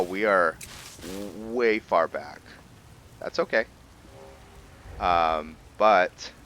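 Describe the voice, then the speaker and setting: A man with a very low but bright, forceful voice hosts a casual gameplay video. He talks slowly and haltingly as he thinks out loud. There is faint noise behind him. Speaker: gaming video host. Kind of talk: casual game playthrough commentary.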